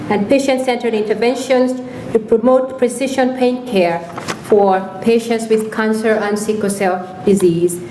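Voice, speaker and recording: A woman with a fairly high voice reads a formal speaker introduction in a steady, prepared way. The room is echoey, and noticeable noise sits behind her voice. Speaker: symposium moderator introducing speakers. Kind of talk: formal introduction read aloud. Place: lecture hall.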